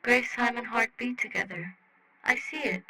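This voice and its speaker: A woman's voice: fairly high pitch, normal speed, sharp tone, no room sound, low-quality recording. A woman voicing a blunt, loyal alien friend in an audiobook, speaking in clipped, broken grammar. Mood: matter-of-fact. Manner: clipped broken grammar, tags questions with the word "question". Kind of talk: audiobook fan fiction character voice.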